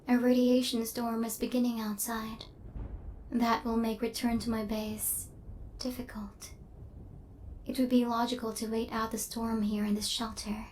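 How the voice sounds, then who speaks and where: A woman plays a female killer drone in an ASMR roleplay, with a slightly high, very even voice. Her phrasing is stilted, literal and clinical. She is stern yet softening, and there is faint noise behind her. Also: measured pace, natural voice. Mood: curious, deadpan.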